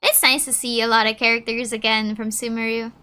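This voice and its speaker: A woman's voice: fairly high pitch, flat delivery, a little fast, bright, forceful tone. A woman giving casual let's-play commentary and reacting to a game. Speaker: streamer playing and reacting to a game.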